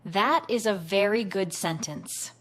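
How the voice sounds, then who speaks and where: A woman teaching a beginner English class, speaking clearly, patiently and encouragingly in a scripted lesson. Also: mid-range voice, measured pace, a bit bright, rough recording.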